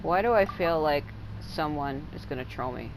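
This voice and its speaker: A woman's voice: deep voice, animated, moderate pace, background noise. A woman giving casual game commentary as a streamer, informal and blunt, with swearing. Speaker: gaming streamer. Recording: game music behind voice.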